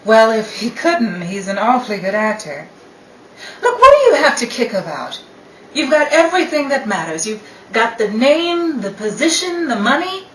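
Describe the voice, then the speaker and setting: A woman's voice: fairly high pitch, very animated, moderate pace. A woman playing a brazen, cynical mistress, hard, dismissive and sardonic, in a 1930s-style radio drama. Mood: scornful. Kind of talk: 1930s radio play acting. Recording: echoey room, distant mic, faint noise.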